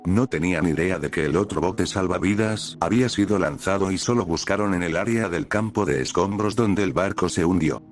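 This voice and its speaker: A man reading a scripted horror story as the channel's narrator, with a steady, measured delivery. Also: low voice.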